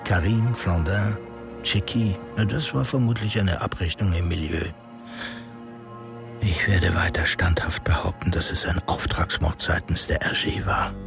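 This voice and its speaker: A man's voice: sits low, steady tone, measured pace, creaky. An actor playing a cynical, scheming male power broker in a radio drama, talking in a cold, calculating inner monologue. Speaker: politician character. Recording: studio radio play with music.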